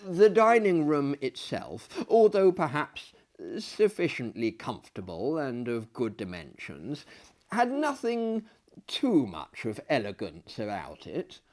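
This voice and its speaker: Male storyteller, thirties. Mannered, theatrical literary delivery, unhurried, with very animated intonation. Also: mid-range voice. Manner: hammy, mannered, deliberate phrasing with dramatic swells and drops. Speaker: dramatic reader and storyteller. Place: small book-lined room indoors, seated in an armchair.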